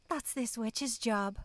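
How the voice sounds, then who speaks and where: A woman voicing a callous, impatient villager in a horror roleplay, sharp and mocking. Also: high voice, breathy edge, no echo, full character voice. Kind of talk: dramatic horror roleplay acting.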